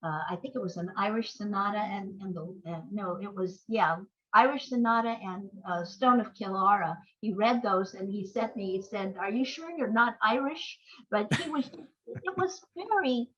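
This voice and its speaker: An older woman reminiscing in a conversational interview, wordy and halting as she corrects herself. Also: mid-range voice, fairly bright tone. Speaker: interview guest, playwright and screenwriter. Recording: dull, lo-fi audio.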